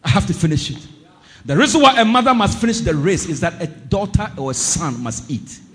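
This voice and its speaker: A man preaching a sermon as pastor, impassioned, swinging between shouted declarations and low asides. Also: expressive, brisk. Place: church service.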